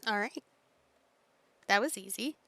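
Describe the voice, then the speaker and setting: A woman's voice: medium pitch, unhurried, pushed and bright, dry recording. A woman streaming a video game, muttering casual commentary to herself as she plays.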